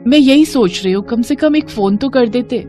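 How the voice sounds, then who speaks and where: A woman's voice: on the high side, normal speed, mellow, soft background music, natural voice. A woman playing a hurt, puzzled young daughter-in-law in a cartoon family drama, earnest and questioning.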